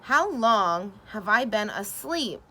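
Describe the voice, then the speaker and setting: A woman voicing a sleepy, grumpy male toad in a storybook, in a lower, grumbling voice. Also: sing-song, slow pace, bright, forceful tone, exaggerated voice. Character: sleepy grumpy male toad who wants to stay in bed.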